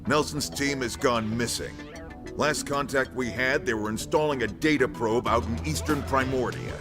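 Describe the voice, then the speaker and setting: An actor playing a sardonic, folksy military commander in a video game cutscene. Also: male voice, mid-range voice, normal speed, over music, natural voice. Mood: businesslike. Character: gruff, wisecracking male military commander. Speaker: commanding officer briefing recruits.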